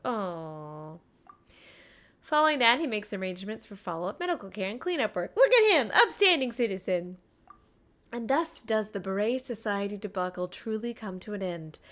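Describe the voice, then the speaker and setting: A woman's voice: medium pitch, a bit bright. A woman streaming a video game, reacting live in a playful, exclamatory way. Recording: game music behind voice. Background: game music.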